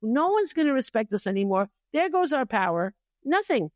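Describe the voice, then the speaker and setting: A woman's voice: high-pitched, very animated, normal speed, dry recording, studio quality. A woman hosting a podcast, chatting casually and opinionatedly in an exasperated way with lots of rhetorical questions. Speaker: podcast host.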